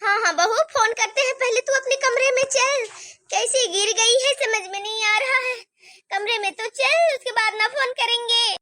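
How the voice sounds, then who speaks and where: A woman's voice: very high-pitched, moderate pace, bright, forceful tone, no echo, studio quality. A woman playing a bossy, worried middle-aged mother-in-law who scolds and gives urgent orders in a homemade family drama skit. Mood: anxious.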